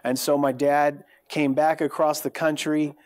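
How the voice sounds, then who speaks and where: A man's voice: on the high side, level tone, unhurried. A man in his thirties from California with an American accent, telling a personal story as a guest in a TV studio interview. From California, United States. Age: 30s. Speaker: worship leader, programme guest. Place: TV studio, seated on a sofa. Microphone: lapel mic.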